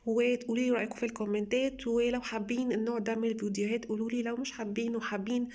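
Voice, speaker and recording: A young woman with an Egyptian Arabic accent, slightly hoarse from a cold, chatting enthusiastically to viewers as she reviews beauty products at home. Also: on the high side, flat delivery, rapid-fire, darkish tone. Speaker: beauty vlogger. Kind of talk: casual product haul review.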